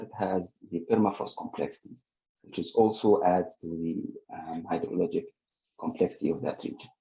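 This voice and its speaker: A man's voice: fairly low pitch, dark tone, highs cut off. A man giving an academic webinar presentation, talking through results with frequent fillers and restarts.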